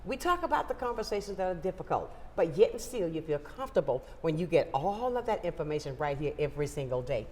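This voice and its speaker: A woman's voice: sits low, big pitch swings, quick pace, hardly any pauses, a little mellow, in a large hall. A woman hosting a local morning talk show, upbeat and emphatic as she welcomes viewers and sets up a health segment. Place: local TV studio.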